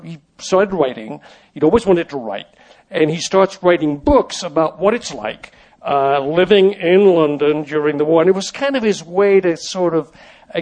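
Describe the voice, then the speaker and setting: An older man whose first language is French, telling stories as an interview guest in a halting, rambling way full of restarts. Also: fairly high pitch, a little mellow. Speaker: interview guest, former child actor. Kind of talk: conversational storytelling.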